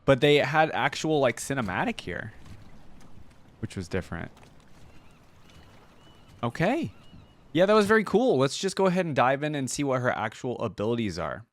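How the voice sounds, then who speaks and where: A man's voice: mid-range voice, sing-song, moderate pace, long pauses. A man hosting a reaction video, commenting casually and informally in short exclamations. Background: music from the watched video.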